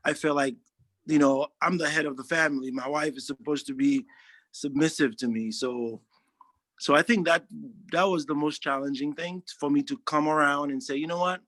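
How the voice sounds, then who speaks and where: A man's voice: fairly high pitch, fairly bright tone, no echo, low-quality recording. A man, speaking earnestly in a testimonial interview and often restarting his sentences. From Haiti. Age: 40s. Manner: earnest, halting, frequent restarts. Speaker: interviewee, social worker.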